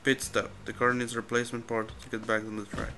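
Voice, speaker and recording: A man gives casual let's-play commentary, halting and thinking aloud as he plays. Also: mid-range voice, flat delivery, bright, forceful tone, slight background noise. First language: Spanish. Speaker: gamer commentating his play.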